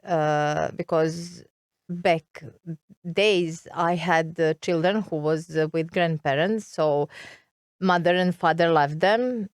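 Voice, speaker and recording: A woman's voice: on the low side, steady tone, takes it slowly, clean tone, no room sound, clean studio sound. A middle-aged woman from Serbia with a Serbian accent, a guest in an interview, talking hesitantly and searching for words. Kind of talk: interview conversation.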